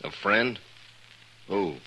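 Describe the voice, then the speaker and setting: Blustering American frontier crook, very sharp, lowish voice, defensive, vintage radio drama with faint noise. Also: male voice, very slow, exaggerated voice, poor audio. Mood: alarmed, defensive. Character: defensive, blustering gambler villain of the Old West. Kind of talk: 1950s radio western acting.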